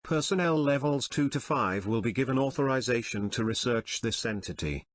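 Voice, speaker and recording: A man with an average-pitched voice reads out an official alert. His delivery is formal and impersonal, in the clipped style of a scripted command announcement. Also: expressive, normal speed, no echo, clean studio sound. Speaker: command announcer issuing a special warning.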